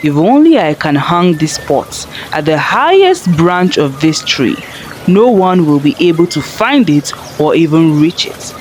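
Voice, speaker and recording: A woman's voice: low voice, sing-song, unhurried, darkish tone, slight background noise. A woman voicing a proud, bitter, angry father in a folktale, with an indignant, dramatic delivery. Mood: scheming. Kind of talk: folktale storybook character voice.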